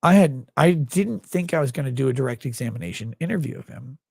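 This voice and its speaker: A tired man with a gravelly voice, hosting a livestream and signing off in a rambling, blunt, profane way. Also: mid-range voice, expressive, fast talker, a little mellow, dry recording, clean studio sound. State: tired, low on sleep. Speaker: livestream host. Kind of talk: casual livestream sign-off chat.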